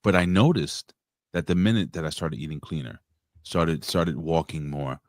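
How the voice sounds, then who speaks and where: A man's voice: rumbling low, moderate pace, darkish tone, dry recording. A man in his late forties chatting as a guest, telling rambling stories full of cursing and restarts. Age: 40s. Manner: profane, rambling storyteller with many restarts. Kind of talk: casual chat.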